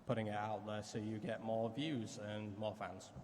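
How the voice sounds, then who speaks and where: A man's voice: fairly low pitch, flat delivery, moderate pace. A man, a DJ on a convention panel, talking in a rambling, casual way full of fillers. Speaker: DJ and music page runner. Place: convention hall stage. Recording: hall reverb, faint noise.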